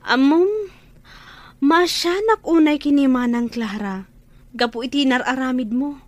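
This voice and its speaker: A woman's voice: high voice, a little slow, dry recording. A woman acting as an earnest, pleading younger sister in an Ilocano radio drama. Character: younger sister earnestly counseling her hospitalized brother.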